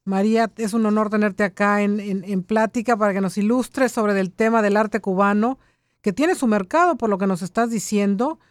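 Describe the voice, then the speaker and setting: A woman hosts a radio talk show from a university studio. She keeps the talk moving with quick asides and short "ujú" replies. The room sounds dry and close. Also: medium pitch, dry recording. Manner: warm chatty interviewer, frequent "ujú" backchannels. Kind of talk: casual radio interview.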